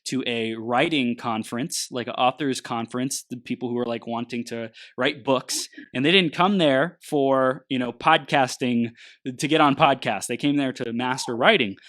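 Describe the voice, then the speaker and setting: A man's voice: mid-range voice, moderate pace, a bit bright. A man hosting a casual podcast interview, enthusiastic and full of affirmations. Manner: enthusiastic, gives frequent affirmations. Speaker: podcast host.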